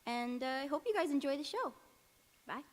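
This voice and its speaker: A woman's voice: high-pitched, lively intonation, a little fast. A woman giving casual, bantering pre-show announcements in an informal, slightly scatterbrained way. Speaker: theatre announcer welcoming audience. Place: live in church hall before an audience. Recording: echoey hall, long reverb.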